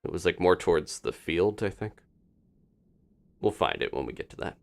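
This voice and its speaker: A man's voice: low voice, expressive, normal speed, vocal fry. A man giving casual live video game commentary as a streamer, musing to himself and thinking aloud as he plays.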